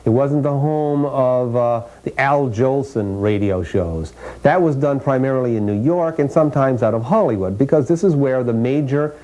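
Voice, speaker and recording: An American man giving deliberate historical commentary in an interview, building his point by rephrasing. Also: medium pitch, dark tone, muffled recording.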